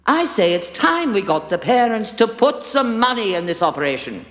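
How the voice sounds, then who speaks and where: An actress playing a haughty, condescending chancellor with a pompous, theatrical delivery. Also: female voice, mid-range voice, big pitch swings, moderate pace, lightly acted. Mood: exasperated, grandiose. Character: haughty, imperious female chancellor, fussy and condescending. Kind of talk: audio drama acting. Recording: echoey hall with long reverb.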